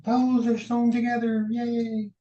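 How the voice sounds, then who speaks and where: A man from Texas co-hosting a sewing livestream over a video call, chiming in with quick jokes. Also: extremely high pitch, monotone, warm tone, muffled recording, a little hiss or hum. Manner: quick joking interjections. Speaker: livestream co-host. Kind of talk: casual livestream chat.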